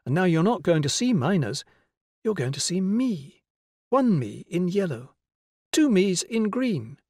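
Man voicing a kindly lady teacher, pitched up. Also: big pitch swings, darkish tone, no echo, studio quality, full character voice. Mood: brisk, playful. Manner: instructive, coaxing, deliberate phrasing. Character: Susila, tall kindly woman teacher guiding children's pretend games. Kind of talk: audiobook character voice.

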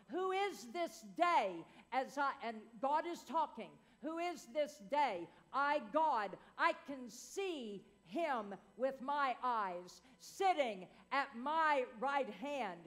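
An older woman minister preaching a prophetic word in a church meeting room, with arms raised and rising to shouts. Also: high voice.

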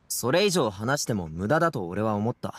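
A man's voice: lowish voice, breathy edge, no echo, full character voice. A man voicing an easygoing but headstrong young man in an anime game, speaking in a casual, youthful way. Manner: casual youthful masculine speech.

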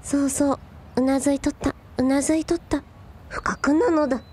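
A woman's voice: high voice, fairly even intonation, normal speed, darkish tone, lightly acted. A woman playing a quirky young woman in a radio drama, talking with playful, quaintly pompous airs.

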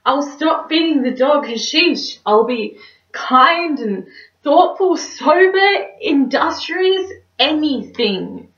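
A woman's voice: high-pitched, expressive, slow, fairly bright tone, voice pushed up, exaggerated voice. A young woman performing a stage monologue as a neurotic, melodramatic single woman, theatrical and anxious. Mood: desperate pleading. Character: neurotic, dramatic single woman dreading dating. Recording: roomy, distant mic, slightly dull.

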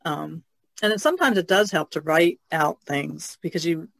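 A woman on a podcast panel, explaining things casually in a halting, thoughtful way. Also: low voice, lively intonation, creaky, fairly bright tone, dry recording. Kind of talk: casual chat.

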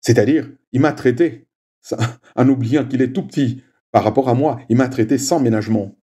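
A man whose first language is Swahili, leading a prayer and giving a slow, measured homily with frequent little "hein" asides. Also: medium pitch, dark tone, slightly rough. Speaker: preacher.

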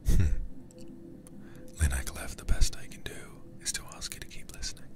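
A man softly whispering close to the microphone in an ASMR game stream, reading text deliberately and murmuring intimate asides to his viewers. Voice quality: breathy whispering, low and gravelly. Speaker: livestreamer playing a horror game.

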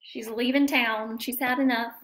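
A woman's voice: a little high, steady tone, moderate pace, background noise. An American woman, around her thirties, reading a picture book aloud to children and chatting about it as a teacher would. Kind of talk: expressive picture-book read-aloud with comments and questions to children. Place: living room at home, indoors. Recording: roomy indoor home recording.

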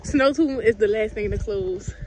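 A woman's voice: mid-range voice, moderate pace, a little mellow. A woman in her twenties with an American accent, chatting casually to a handheld camera as a vlogger. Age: 20s. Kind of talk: casual vlog chat to camera. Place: on location outdoors in snow, in a car and around shops. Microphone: handheld camera or phone at arm's length. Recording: noisy handheld on-location recording.

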